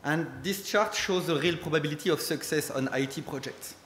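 A man's voice: on the high side, fairly bright tone. Man, strong French accent, conference speaker, hesitant English, echoey hall, faint background noise. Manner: hesitant, halting English with fillers. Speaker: conference speaker, company head. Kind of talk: conference presentation. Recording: echoey hall with long reverb.

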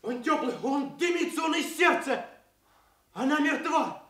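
A panicked messenger cries out in an extremely high, piercing voice. The horrified theatrical shouts of this radio tragedy echo from far off the microphone. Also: male voice, unhurried, exaggerated voice. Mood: panicked horror. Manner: shrill, crying out. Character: panic-stricken male messenger bearing news of death. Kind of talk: classical stage tragedy acting for radio.

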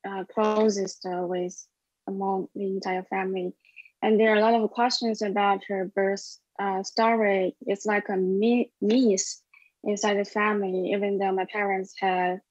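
Chinese woman speaking halting English. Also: medium pitch, no echo. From China. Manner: halting, many fillers and restarts. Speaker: documentary filmmaker. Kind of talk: recorded interview answers. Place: small indoor room. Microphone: wireless earbuds at a webcam. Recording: dull, dry webcam audio.

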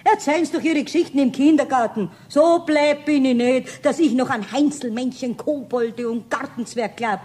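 A woman's voice: sits high, moderate pace, hardly any pauses, darkish tone, husky. An actress playing a feisty, sceptical, outspoken cleaning lady with a Bavarian accent in a radio play. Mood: indignant. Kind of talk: 1970s German radio play.

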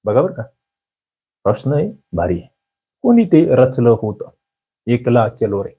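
A man giving a quiz-style exam-prep lecture, firing questions and demanding quick answers from his students. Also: big pitch swings, warm tone, husky. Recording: muffled low-fidelity recording.

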